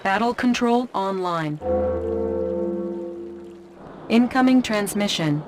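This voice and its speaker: An actress playing a composed female tactical announcer and adviser in a war game, clipped, measured and official. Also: medium pitch, expressive, music in the background, natural voice. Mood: neutral announcing. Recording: noisy game audio, gunfire behind.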